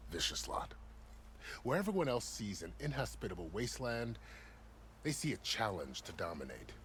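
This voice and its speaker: An actor playing a seasoned, plain-spoken soldier in a fantasy video game, explaining things in a wry, conversational way. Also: male voice, low-pitched, fairly bright tone, slight background noise. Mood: informative, wry.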